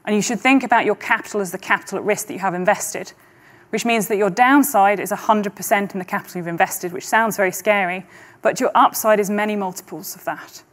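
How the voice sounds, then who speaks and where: A middle-aged woman presenting on stage at a conference, speaking in a measured, confident and persuasive way. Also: mid-range voice. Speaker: investment trust client director presenting. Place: large conference auditorium stage.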